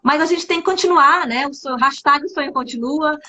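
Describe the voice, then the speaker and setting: A woman's voice: sits high, a little fast, bright, forceful tone. A woman from Brazil hosting a casual live stream for an exchange agency, talking in an upbeat, chatty way and often checking in with "né?". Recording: slightly dull, lo-fi live-stream audio.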